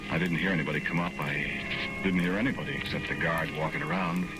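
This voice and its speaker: A man's voice: a bass voice, fairly even intonation, quick pace, loud music behind the voice. An actor in a 1940s radio drama playing an anxious condemned man who protests his innocence in a folksy, hesitant way. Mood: brooding narration. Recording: noisy old 1940s radio recording.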